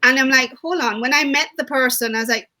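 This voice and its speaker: Host, woman; eager questioner, high and bright. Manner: eager, questioning, quick responses. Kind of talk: panel conversation.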